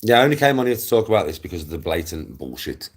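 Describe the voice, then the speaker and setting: Male, 40s, English accent, rough husky low voice; emphatic, repetitive, foul-mouthed livestream rant. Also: sing-song, very fast. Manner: sweary, blunt, repeats himself emphatically. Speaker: live streamer. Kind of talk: ranting casual livestream chat.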